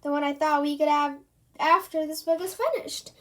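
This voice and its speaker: A woman's voice: high voice, fairly even intonation, normal speed, a bit bright. A woman reading the lines of a cheerful, silly boy inventor who keeps apologizing eagerly. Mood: apologetic.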